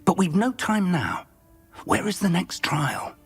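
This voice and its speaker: A man's voice: high-pitched, lively intonation, a little slow, husky, soft background music, full character voice. A man playing a wry, kindly, scholarly professor in a video game, formal and thoughtful in his speech. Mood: thoughtful, urgent. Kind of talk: video game cutscene acting.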